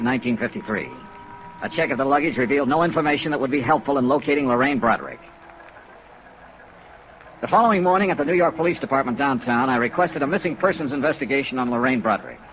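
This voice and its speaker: A man's voice: medium pitch, fast, a bit bright, slight background noise, lightly acted, rough recording. An American man playing a wry insurance investigator in a 1950s radio serial, clipped and dry. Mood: businesslike narration. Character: brisk, wry insurance investigator narrating his case. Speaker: lead actor and narrator of a detective radio serial. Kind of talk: 1950s radio detective acting. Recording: vintage radio recording with rain and music.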